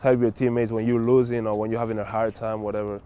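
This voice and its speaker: A young man from Spain with a low, mellow voice that stays smooth and clear. He answers in a flat, unhurried way and drops filler words as he talks. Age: young adult. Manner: relaxed, many fillers like "like" and "whatever". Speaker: college basketball player. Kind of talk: casual interview answers.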